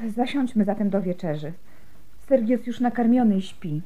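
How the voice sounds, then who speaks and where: An actress playing a devout, gentle young Byzantine wife and mother in a radio drama, speaking softly and earnestly. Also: mid-range voice, lively intonation, normal speed, close to an everyday voice, poor audio. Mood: devout, calm.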